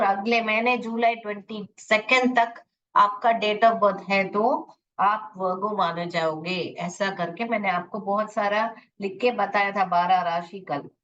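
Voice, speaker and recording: A woman's voice: on the high side, a bit bright. A woman with an Indian accent teaching a live online English lesson, explaining with examples and checking understanding with short tag questions. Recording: dull, distant-sounding online class audio.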